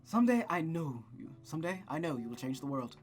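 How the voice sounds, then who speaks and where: Performer as a gentle, formal melancholy nobleman. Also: mid-range voice, sing-song, fairly quick, faint music behind, close to an everyday voice. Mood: tender. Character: courteous, melancholy young nobleman, a marquis in visual novel.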